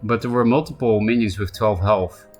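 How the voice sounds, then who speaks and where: A man's voice: fairly low pitch, fairly even intonation, faint music behind. A man with a Polish accent streaming a card game live, chatting casually and answering viewers by name. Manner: chatty, answers chat by name, quick asides. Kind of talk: casual live-stream chat while playing.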